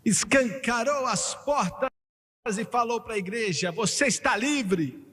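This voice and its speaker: A man preaching a sermon emphatically, slowing and rising on key phrases and joking with the congregation. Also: very high voice, a bit bright. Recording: long hall reverb.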